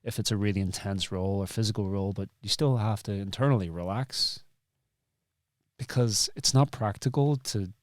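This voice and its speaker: A man's voice: fairly low pitch, moderate pace, no echo, studio quality. A man hosting an interview in reflective conversation, rephrasing and repeating his points for emphasis. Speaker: podcast host and interviewer.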